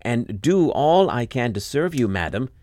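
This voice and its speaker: A man's voice: mid-range voice, very animated, measured pace, a little mellow, voice pushed up. A man voicing an earnest, brave and polite young boy in a storybook tale, eager and courteous. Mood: polite, pleading.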